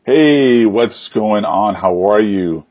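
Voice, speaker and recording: A man with a deep, husky voice hosts a live interview. He phrases things informally and a little haltingly, in the casual style of an online show host. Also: animated, darkish tone.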